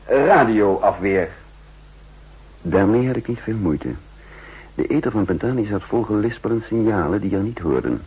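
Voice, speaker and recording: An actor playing a shrewd, insistent counter-espionage chief in a 1960s radio drama, speaking formally and emphatically with a husky voice. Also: male voice, lowish voice, animated, normal speed, darkish tone. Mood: urgent, confident. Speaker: narrator and main character. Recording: muffled old 1960s radio recording.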